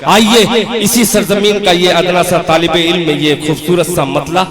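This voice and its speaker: A man, a poet reciting devotional verse on stage, chanting slowly and holding long notes into a microphone. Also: high voice, fairly even intonation, a loud crowd. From India. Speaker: poet at a religious poetry gathering. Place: outdoor stage at a night gathering.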